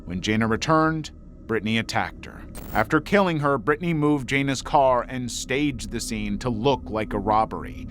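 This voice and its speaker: A man's voice: medium pitch, a bit bright. A man reading scripted true crime documentary narration. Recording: faint music bed behind narration. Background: music.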